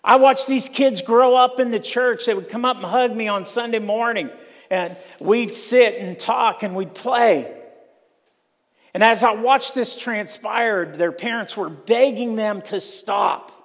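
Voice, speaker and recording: An older man from Ohio preaching a sermon in a church, slow and emphatic, repeating key lines. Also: high voice. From Ohio, USA. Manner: slow, emphatic preaching with repetition and rising intensity. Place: church sanctuary. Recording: long hall reverb.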